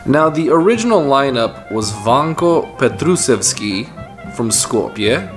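A man in his thirties hosting a casual explainer vlog from his living room couch, enthusiastic and lively as he tells stories. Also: mid-range voice, slow pace, echoey room, faint music behind. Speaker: video host.

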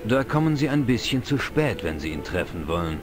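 An actor playing an older, knowledgeable, wry pub regular in an adventure game, explaining things in a dry, measured way. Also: male voice, fairly low pitch, big pitch swings. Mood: wry, informative. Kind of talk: dubbed adventure game dialogue. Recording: music behind the voice. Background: game music.

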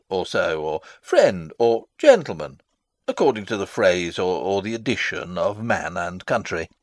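A man's voice: on the low side, dry recording, studio quality. An actor playing a fussy, long-winded old father and royal counsellor in a theatrical Shakespeare reading, pompous and rambling. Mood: scheming, pompous. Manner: rambling, pompous, theatrical, losing his thread. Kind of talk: dramatic reading of a Shakespeare play.

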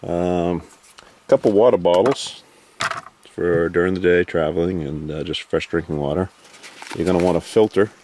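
A man in his forties at an outdoor lakeside campsite, casually walking through his camping gear in a relaxed, list-like way with lots of "uh" and "you know". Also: low-pitched, normal speed, slight background noise. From Maine, US. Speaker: canoe trip vlogger. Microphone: handheld camera.

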